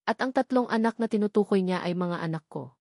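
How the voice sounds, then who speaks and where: A woman's voice: mid-range voice, brisk, darkish tone, no room sound, clean studio sound, natural voice. A woman with a Filipino accent voicing an earnest, protective policeman and devoted father in a romance audio drama. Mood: resolute.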